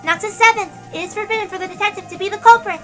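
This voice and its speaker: A woman's voice: very high voice, fairly even intonation, very fast. A woman voicing a stern, formal inquisitor who recites absolute laws in a dramatic visual novel read-aloud. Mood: unyielding. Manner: formal, declarative, unyielding. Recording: loud music behind the voice.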